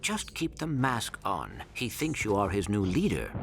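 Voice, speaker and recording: Slow, swooping, polite little robot. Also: male voice, lowish voice, huge pitch swings, creaky, exaggerated voice. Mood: calm, matter-of-fact. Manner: formal, precise, measured. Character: small polite robot, earnest, calm and formal. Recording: loud background noise.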